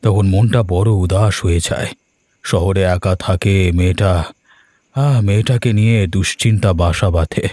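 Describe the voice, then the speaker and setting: A man's voice: low-pitched, a little slow, a little mellow, no echo, studio quality. A man voicing an anxious, pious older village uncle in a cartoon, sighing and exclaiming dramatically. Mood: worried. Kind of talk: cartoon voice acting. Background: music.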